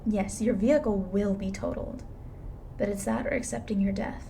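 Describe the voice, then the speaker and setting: A woman playing a blunt, weary, reluctantly soft-hearted reaper in an intimate ASMR roleplay, dry and matter-of-fact. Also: mid-range voice, steady tone, normal speed, creaky, a little hiss or hum, natural voice. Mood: firm, instructing.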